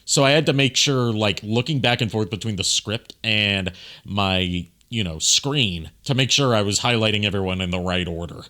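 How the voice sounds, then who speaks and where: A man with a low, crisp-edged bright voice talks as a streamer commenting on a video. His delivery is casual and explanatory, wandering through his points. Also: moderate pace. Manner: rambling, explanatory. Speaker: streamer, amateur voice actor.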